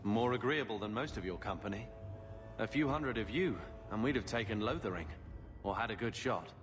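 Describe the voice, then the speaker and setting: A man's voice: lowish voice, expressive, a little fast, close to an everyday voice. A man playing a cocky, protective soldier brother in a fantasy video game, blunt and sardonic in manner. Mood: wry. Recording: music playing behind the voice.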